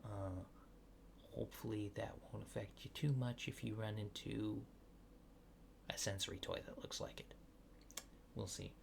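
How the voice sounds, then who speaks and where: A man doing a soft-spoken, often whispered ASMR roleplay as a casual, polite alien technician, rambling hesitantly in a low-key, reassuring way. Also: steady tone, unhurried, creaky, pauses often, slight background noise. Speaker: ASMR creator.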